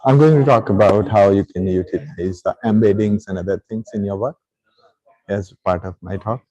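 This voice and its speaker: A man with an Indian accent talks casually as a meetup host. His voice is on the low side and relaxed, with very little room sound. He tends to repeat short acknowledgements. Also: moderate pace, no echo, highs cut off. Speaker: host and speaker at a tech meetup. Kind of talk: casual chat.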